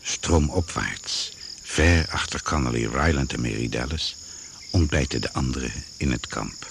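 A solemn radio drama narrator with an extremely low voice. He delivers short descriptive phrases very slowly and with measured gravity. The old recording has clear noise behind him. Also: exaggerated voice. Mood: grave, atmospheric. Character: grave, slow male narrator of a jungle survival drama.

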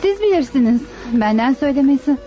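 An actress playing a sly, insistent young daughter in a radio play, on a muffled, dry recording. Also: female voice, high voice, expressive, brisk, music in the background. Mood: eager, insistent. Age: young adult. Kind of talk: radio theatre acting.

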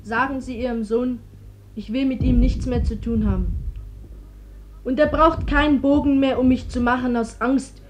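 Actress as a high-voiced, scornful young militia girl. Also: fairly even intonation, moderate pace, background noise, close to an everyday voice. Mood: bitter, cutting. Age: young adult. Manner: accusing, biting. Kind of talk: German radio play acting.

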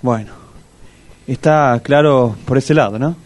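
A man's voice: mid-range voice, a little slow, close to an everyday voice. An actor playing a simple, earnest, complaining Argentine man in a comic radio play, rambling colloquially. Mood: eager. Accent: Argentine, Rioplatense.

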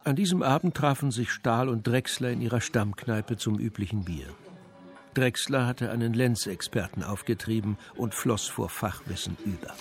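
An actor playing the narrator of a radio crime drama, reading scripted narration in a matter-of-fact way. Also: male voice, mid-range voice, moderate pace, soft background music, lightly acted.

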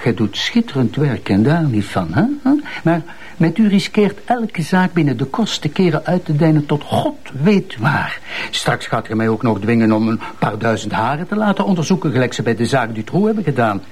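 A Flemish actor playing a pompous, exasperated public prosecutor who lectures impatiently in a TV crime drama. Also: male voice, mid-range voice, big pitch swings, normal speed, breathy edge. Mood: lecturing. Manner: lecturing, repeats words impatiently. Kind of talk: naturalistic TV crime drama acting.

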